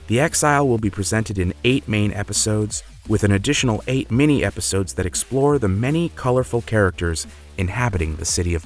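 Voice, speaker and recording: A man hosting a podcast, delivering a scripted introduction in a polished, earnest way. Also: fairly low pitch. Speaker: podcast creator and host. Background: music.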